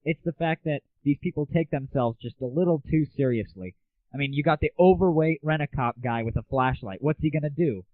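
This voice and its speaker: A man's voice: fairly quick, no echo. Young American male host, sarcastic and chatty, dry phone-like lo-fi sound. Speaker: host of an online gaming audio show. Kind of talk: casual comic rant and game review. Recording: tinny, phone-like low-bandwidth audio, very dry.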